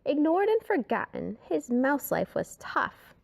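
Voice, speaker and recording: A woman reading a rhyming children's story aloud in an expressive, dramatic way, sometimes dropping to a hush. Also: sits high, measured pace, gravelly, background noise.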